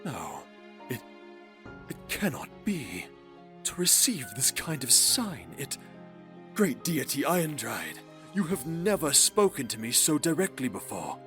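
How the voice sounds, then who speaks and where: A fearful, devout young count played by a man in a dramatic game. He speaks slowly and haltingly, with a widely moving, pleading voice over faint music. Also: medium pitch, wildly swooping pitch. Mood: distraught, pleading. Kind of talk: dramatic visual novel voice acting.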